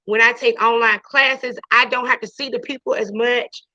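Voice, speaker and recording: Woman, teacher, casual class talk, loud voice, fairly high, dull lo-fi call audio. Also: steady tone, normal speed, no room sound. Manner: chatty, repeats and restarts, many "you know"s. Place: home during a video class. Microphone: video call.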